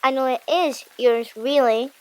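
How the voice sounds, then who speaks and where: Woman playing a gloomy, high-voiced Viking boy. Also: measured pace, low-quality recording. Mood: weary, resigned. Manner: bitter, weary, miserable complaining. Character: gloomy, complaining, sarcastic Viking boy sidekick. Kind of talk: audiobook drama character acting.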